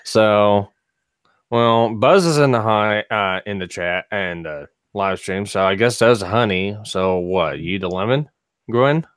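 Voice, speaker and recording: A man with a slightly raspy, froggy throat, chatting wryly and jokingly on a casual live stream. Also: fairly low pitch, slow pace, fairly bright tone, dry recording, studio quality. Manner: wry, joking. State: froggy throat that will not clear.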